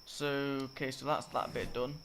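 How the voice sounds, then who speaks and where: A croaky, slightly sick young man rambling through a game-building tutorial, often correcting himself. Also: mid-range voice, normal speed. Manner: rambling, self-correcting, filler-heavy. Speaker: gaming tutorial creator. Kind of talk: casual gameplay tutorial commentary. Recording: loud game noise behind. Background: game sound effects and clicks.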